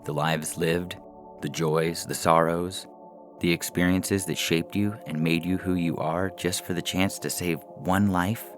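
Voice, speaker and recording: A man's voice: low voice, steady tone, unhurried, soft background music. A man reading science fiction stories aloud as scripted narration for a podcast.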